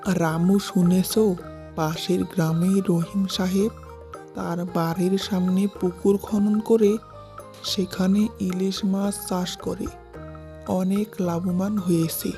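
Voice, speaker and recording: A humble village man in a children's cartoon , acted by a man, with a mellow, dark voice. He speaks slowly and plainly, with little rise or fall in pitch. Faint music sits behind him. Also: flat delivery. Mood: helpful. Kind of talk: scripted cartoon dialogue.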